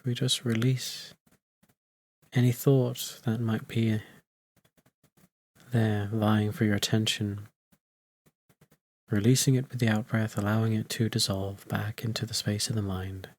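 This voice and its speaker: A man leading a guided meditation as a teacher, in soothing phrases with long, unhurried pauses. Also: on the low side, little pitch movement, dry recording.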